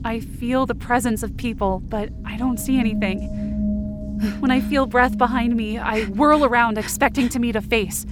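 A woman with a fairly high voice performs a scripted audio drama in the first person. Her narration is intense and suspenseful, as a frightened adventurer in a dark supernatural tale. Music plays clearly behind her. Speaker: fiction podcast narrator and performer. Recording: music bed under the voice. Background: dramatic background music.

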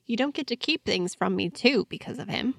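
Woman reading as prickly guarded fantasy heroine, prodding, very up and down pitch, dry recording. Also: expressive, fairly quick, creaky, a bit bright, dry recording, full character voice. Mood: indignant. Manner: prodding, easily annoyed. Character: guarded, indignant woman, daughter of a powerful father.

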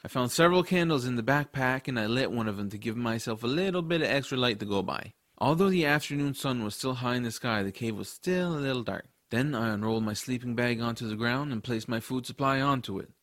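A man's voice: mid-range voice, fast talker, hardly any pauses, a bit bright, no room sound. Man narrating a fantasy audiobook, dry studio sound. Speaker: audiobook narrator in first person as the young hero. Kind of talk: scripted story narration with acted dialogue.

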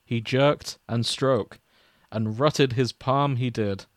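Male comedy podcast host-narrator, casual and giggly, middle pitch, dry recording. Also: fairly even intonation, slow pace, no echo. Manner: giggly, often breaking up while reading. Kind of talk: comic fan fiction reading with casual banter.

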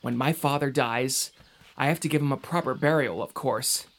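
A man's voice: medium pitch, moderate pace, close to an everyday voice. Earnest, doubtful young man played by an actor. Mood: somber, explaining. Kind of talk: audio drama acting.

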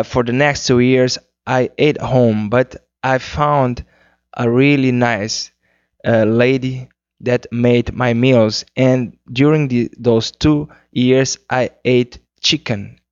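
A man from Cape Verde whose first language is Cape Verdean Creole, answering radio interview questions in English and often pausing and restarting as he searches for words. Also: medium pitch, smooth, no room sound, muffled recording. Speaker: singer-songwriter guest.

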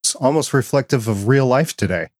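Guy hosting a podcast, chatty but deliberate, medium voice, no echo at all. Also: medium pitch, lively intonation, darkish tone, clean studio sound. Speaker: podcast host. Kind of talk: casual interview chat.

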